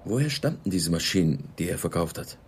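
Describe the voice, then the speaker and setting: Lead investigator, radio drama: husky, fairly deep, terse, persistent. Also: male voice, animated, a little fast, heavily acted. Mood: probing. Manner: direct, terse questioning. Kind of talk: German radio drama acting.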